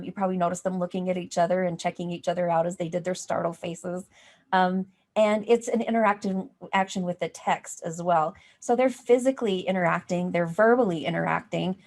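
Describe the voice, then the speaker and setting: A woman's voice: lowish voice, darkish tone. A woman giving an informal teaching workshop, explaining in a conversational way as she thinks aloud. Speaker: workshop presenter on teaching reading. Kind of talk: informal professional development talk.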